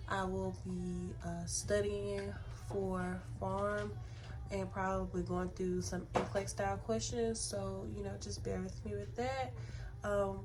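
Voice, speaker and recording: A young adult woman, a nursing student, chatting casually to her viewers with a stuffy nose from a sinus infection, often pausing on ums and restarting. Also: medium pitch, low-quality recording. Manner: unhurried, with frequent ums and restarts. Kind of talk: casual vlog talk to camera.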